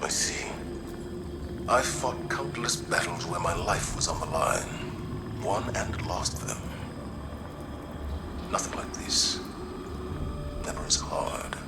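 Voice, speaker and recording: An actor playing a grave, guilt-ridden veteran commander in a video game, speaking in a solemn, reflective, measured way. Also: male voice, deep voice, very noisy. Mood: subdued, weary. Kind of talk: dramatic video game monologue and dialogue.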